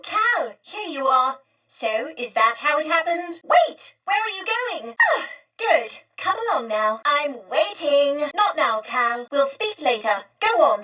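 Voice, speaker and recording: A polite fussy lady droid for a game, really high voice, old-timey proper wording, bit off the mic. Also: bright, forceful tone, distant mic, full character voice. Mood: animated. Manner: formal, polite, genteel old-fashioned phrasing. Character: prim, courteous, fretful female droid of a bygone era. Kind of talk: video game character dialogue.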